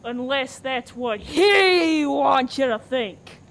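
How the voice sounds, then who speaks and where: An eager, paranoid conspiracy believer played by a woman in a radio comedy. The voice is high-pitched and slow overall, keen to accuse yet quick to back down. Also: animated, a bit bright, exaggerated voice. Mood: suspicious. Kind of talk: comedic radio play acting.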